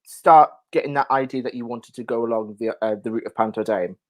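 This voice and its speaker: A man's voice: mid-range voice, fairly quick. Male interviewer, medium register, informal conversational hosting. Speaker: host, interviewer. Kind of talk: casual interview.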